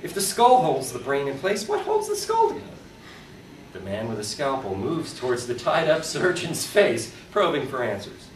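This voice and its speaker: Male author, theatrical and wry horror storyteller, lively pitch movement, echoey live room with faint noise. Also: moderate pace. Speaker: author reading his own fiction. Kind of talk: dramatic live reading of short horror fiction. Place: live reading at a convention, indoors. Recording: roomy, distant live recording with background noise.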